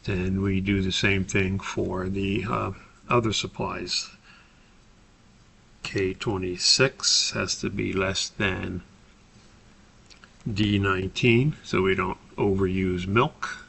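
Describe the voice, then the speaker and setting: A man giving a methodical, step-by-step software tutorial as an instructor, explaining in a somewhat hesitant way. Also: sits low, fairly even intonation, takes it slowly, gravelly, long pauses, slight background noise.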